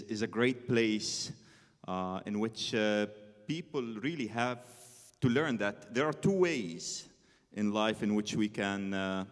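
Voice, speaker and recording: Hesitant low-voiced man in a hall. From northern Israel. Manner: hesitant, frequent "you know". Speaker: interview guest, violinist. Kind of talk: reflective on-stage conversation. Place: on stage in a concert hall. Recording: reverberant concert hall.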